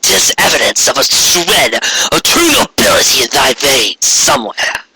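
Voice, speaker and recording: A man's voice: medium pitch, big pitch swings, vocal fry, noisy background. A man voicing a regal, menacing female alicorn tyrant in an archaic, grandiose manner for a storybook reading. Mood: condescending. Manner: archaic, grandiose, slow proclamations.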